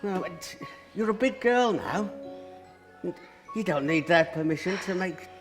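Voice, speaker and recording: High-pitched, bumbling magic helper. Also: male voice, animated, a little slow. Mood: coaxing. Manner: hesitant, stammering, coaxing. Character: bumbling, eager, well-meaning pantomime magic helper. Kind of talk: pantomime stage acting. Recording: hall reverb with music, live stage.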